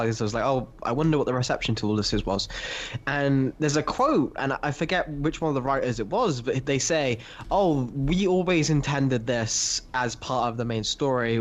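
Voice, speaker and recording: A man co-hosting a casual comic book discussion, chatty, acting out quotes and swearing. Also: mid-range voice. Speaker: podcast co-host. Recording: slightly dull, lo-fi audio.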